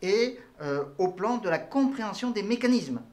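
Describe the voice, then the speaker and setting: A man's voice: sits high, expressive, moderate pace, a little mellow. An older man from Tunisia, answering interview questions in formal, elaborate phrasing with frequent hesitations. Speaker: entrepreneur guest.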